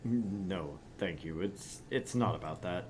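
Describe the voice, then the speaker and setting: A man's voice: low voice, slow pace, slight background noise. A man voicing a polite, reserved male bar patron with a courteous, hesitant and formal way of talking.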